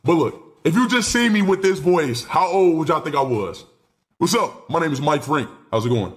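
A nineteen-year-old man streaming live from a small room on a headset mic, excitable and rambling, swearing freely. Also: fairly high pitch, normal speed. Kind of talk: casual live stream chat.

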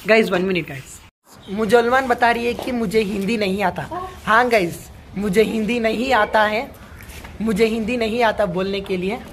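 A man's voice: sits high, moderate pace, a bit bright, slight background noise. A teenage or young man vlogging on his phone while walking through a park, chatting casually and calling out to his viewers again and again. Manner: chatty, repeats "guys" often, mixes English words into Hindi. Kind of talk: casual vlog walk-through. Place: outdoor city park. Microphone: handheld phone.

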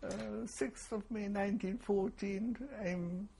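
An elderly woman, giving testimony slowly and haltingly, with many pauses. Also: mid-range voice, fairly even intonation, gravelly, dark tone, a little hiss or hum. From Poland. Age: 80s. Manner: slow, halting, pausing with fillers. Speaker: interviewee. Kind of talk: oral history testimony.

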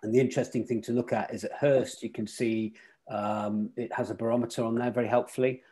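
A man's voice: medium pitch, flat delivery, brisk, mellow, breathy edge. A middle-aged man hosting a casual club video call on weather and tides, thinking aloud with fillers and restarts. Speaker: host presenting weather and tides. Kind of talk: casual online club talk.

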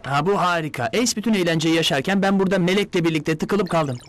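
High-pitched, eager young sidekick played by a man. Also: fast talker, a little hiss or hum, lightly acted. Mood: sulky. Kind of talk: dubbed cartoon acting. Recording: music behind.

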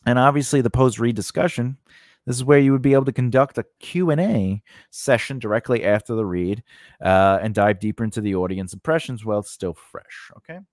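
A man in his forties hosts a lesson in a mid-pitched voice, recorded close on a studio microphone with very little room sound. He talks informally and haltingly, often rewording his points as he explains them. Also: animated, darkish tone, no echo. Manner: conversational, halting, often rephrasing himself. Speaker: host of a scriptwriting lesson. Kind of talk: informal instructional talk to camera. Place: small indoor recording space. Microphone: close studio microphone on a stand.

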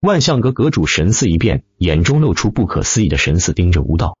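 Husky, animated male audiobook narrator. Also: low voice, brisk, dry recording, dull audio. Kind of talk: dramatic scripted web-novel narration.

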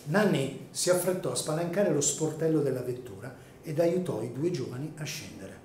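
A man narrating a gothic radio drama in a solemn, theatrical manner with deliberate phrasing. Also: fairly high pitch, expressive, darkish tone, slight background noise. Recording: echoey room, music behind.

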